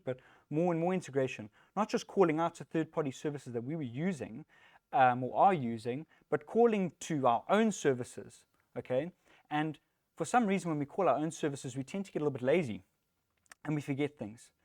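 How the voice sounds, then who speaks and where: A man's voice: fairly high pitch, dry recording, studio quality. A man in his thirties with a South African accent, giving a casual, self-deprecating talk at a developer meetup, with plenty of ums. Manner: relaxed, chatty, self-deprecating, many ums. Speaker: conference speaker, technical lead. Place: meetup room.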